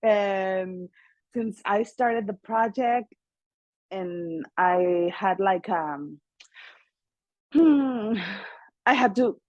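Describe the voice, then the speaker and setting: A woman's voice: lowish voice, no echo, muffled recording. Mexican-accented woman, dry and close. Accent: Mexican Spanish. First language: Spanish. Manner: animated, laughs often, earnest. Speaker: guest singer and actress. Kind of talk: casual interview chat.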